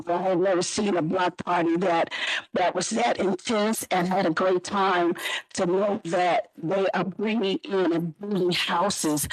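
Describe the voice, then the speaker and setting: A hoarse middle-aged councilwoman speaks up at a zoning hearing, her voice husky and breathy. She rambles earnestly, piling on fillers as she backs the project, and the sound is close and dry with no room around it. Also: lowish voice, dry recording, rough recording. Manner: earnest, rambling support speech with many fillers. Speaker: city councilwoman. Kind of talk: public meeting testimony.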